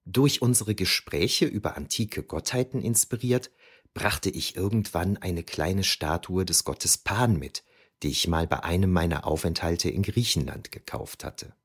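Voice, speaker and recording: A man's voice: low-pitched, measured pace, breathy edge, exaggerated voice. A man playing a reflective ancient historian who narrates memories of youth in a scripted, wryly explanatory way for an audio drama. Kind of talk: scripted first-person narration.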